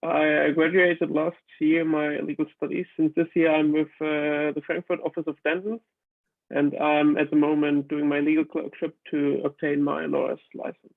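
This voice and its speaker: Tinny, gravelly young German man. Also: a little high, flat delivery, normal speed. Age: 20s. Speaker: course participant, legal trainee. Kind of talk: self-introduction. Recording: tinny phone-like call line.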